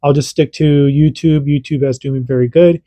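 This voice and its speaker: A man's voice: fairly high pitch, steady tone, normal speed, warm tone, no room sound. A man and parent, hosting a casual hands-on radio demo and explaining in an informal, rambling way that often restarts sentences. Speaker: radio hobbyist host.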